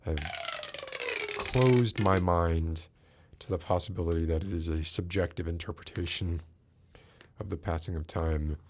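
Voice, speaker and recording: A man in his late forties with a very low voice chats on his livestream at an unhurried pace. He muses and rambles wryly and stops to greet viewers in the chat by name. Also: a little hiss or hum. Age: 40s. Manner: musing, rambling, wry. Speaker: livestream host.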